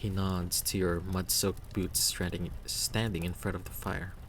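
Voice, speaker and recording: A man's voice: low-pitched, little pitch movement, unhurried, fairly bright tone, slight background noise. A man reading scripted narration from a story game aloud.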